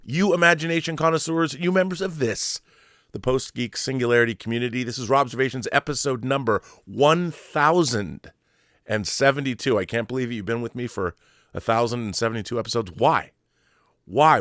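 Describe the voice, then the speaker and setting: A man's voice: medium pitch, fairly quick, fairly bright tone, no echo, studio quality. An American man, effusive and theatrical, hosting a casual fan interview show. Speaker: talk show host. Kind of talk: showman intro, then casual fan interview.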